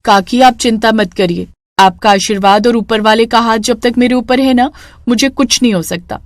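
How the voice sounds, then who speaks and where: A woman's voice: fairly high pitch, steady tone, normal speed, no echo, studio quality, lightly acted. A woman voicing a spirited, kind young street vendor in a cartoon story, earnest and chirpy. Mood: reassuring.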